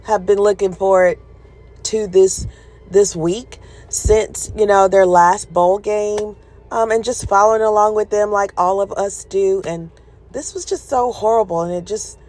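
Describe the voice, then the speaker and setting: A woman's voice: medium pitch, steady tone, measured pace, darkish tone. A woman hosting a commentary video, talking informally and emphatically with strong opinions about sports news.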